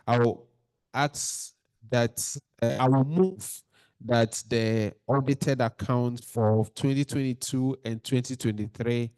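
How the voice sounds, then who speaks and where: A man's voice: mid-range voice, dark tone, no room sound. A man chairing a general meeting in a courteous, procedural way.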